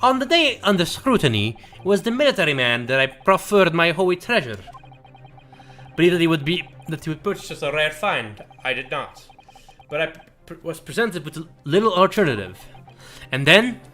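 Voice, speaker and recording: A man acts out a pompous old curio dealer from a video game, obsessed with his treasure. His flowery, archaic speech stretches out certain words and swings widely in pitch over faint music. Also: big pitch swings, moderate pace, pushed and bright. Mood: mournful. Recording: music behind the voice.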